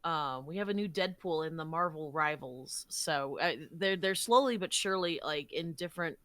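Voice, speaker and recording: A woman chatting casually as a podcast guest, expressive and effusive. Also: sits low, normal speed, dry recording. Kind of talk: casual conversation.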